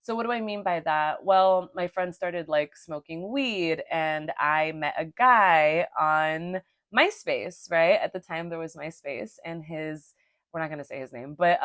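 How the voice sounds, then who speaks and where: A woman's voice: on the low side, lively intonation, a little slow, fairly bright tone, dry recording, studio quality. A young woman from Los Angeles, a native Spanish speaker, hosting her own podcast in a casual, chatty way, swearing freely and tagging sentences with "right?" and "okay?". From Los Angeles, California. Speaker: podcast host and sex coach. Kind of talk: casual solo podcast monologue.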